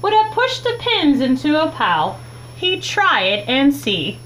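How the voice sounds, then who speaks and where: A young adult woman reads a children's book aloud with expressive, deliberate phrasing, recorded in a small room at home on a webcam mic. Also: high-pitched. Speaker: teacher reading a children's book. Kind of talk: read-aloud storytime narration. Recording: clearly noisy, humming home recording.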